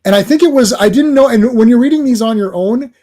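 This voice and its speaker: A man's voice: very high voice, fast talker, mellow. A man hosting a casual comics livestream, chatting through a comic and greeting viewers in the chat.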